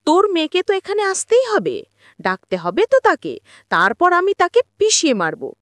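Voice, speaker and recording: A woman's voice: extremely high pitch, wildly swooping pitch, normal speed, fairly bright tone, no echo, pitched above the performer's own voice, exaggerated voice. A woman voicing a cruel, bossy female kidnapper in a soap story, threatening and commanding. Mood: menacing.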